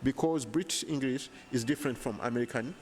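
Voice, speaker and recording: A man in his thirties with a Ugandan accent, answering interview questions in an earnest, measured way, held mic in a hotel lounge. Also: medium pitch. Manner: earnest, measured, frequent restarts. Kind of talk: casual interview. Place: bright hotel lounge indoors. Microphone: handheld lapel mic.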